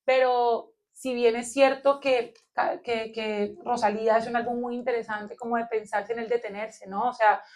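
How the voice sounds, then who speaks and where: A Colombian woman with a Colombian accent, co-hosting a philosophy discussion and thinking aloud in an animated way, with many fillers. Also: on the high side, normal speed, few pauses. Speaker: podcast co-host. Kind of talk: philosophical round-table discussion.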